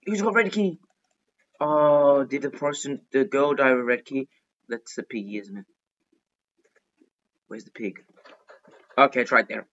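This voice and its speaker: A male gaming host with a mid-pitched voice narrates his play in an excitable, chatty way. He talks to himself and repeats short phrases over and over. The microphone sounds dull and lo-fi. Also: measured pace, pauses often. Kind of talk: excitable gameplay commentary.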